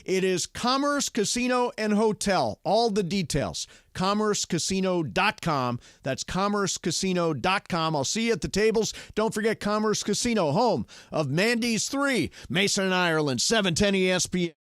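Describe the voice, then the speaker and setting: A man's voice: high voice, lively intonation, moderate pace, hardly any pauses, a bit bright, no echo, clean studio sound. A man hosting a sports radio show, chatting casually and reading an ad in an easy, jokey way. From Ohio. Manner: chatty, jokey, slides into ad reads. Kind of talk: casual radio chat and live read. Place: radio studio.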